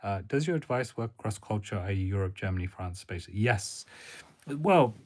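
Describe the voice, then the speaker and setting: A man who gives career advice, chatting casually on a live stream in a monotone, rambling way with fillers. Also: fairly low pitch, normal speed. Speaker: career coach.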